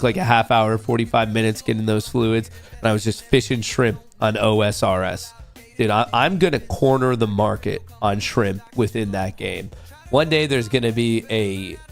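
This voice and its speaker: A young man hosting a loose, joking livestream, talking straight to his chat. His voice has a gravelly, fry-tinged edge and a slightly nasal sound from a cold he's getting over. Soft music plays faintly behind him. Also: fairly low pitch. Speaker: livestream host.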